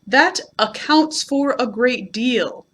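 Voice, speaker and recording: A gloomy donkey from a children's storybook, as a woman reads him aloud. He draws his words out slowly and mournfully, resigned to his lot. The voice sits fairly high, with sad swings up and down. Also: animated, a bit bright, full character voice. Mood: gloomy, bitter. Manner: slow, mournful, drawn-out delivery.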